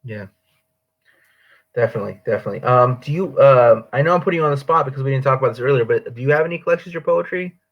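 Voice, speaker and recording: Fast-talking outspoken male host. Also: mid-range voice, highs cut off. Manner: outspoken, emphatic. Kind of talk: casual interview.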